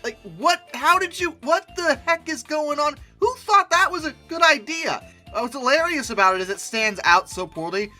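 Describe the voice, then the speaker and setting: A video host delivers sarcastic comic commentary. The voice is bright and forceful, with animated pitch swings and mock-incredulous exasperation, over faint background music. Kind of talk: comic commentary on dinosaur art.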